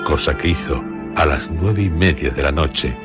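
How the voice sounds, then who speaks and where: A man with an accent from Spain narrating a radio drama in a measured, solemn way, phrase by phrase. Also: rumbling low. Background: prominent music.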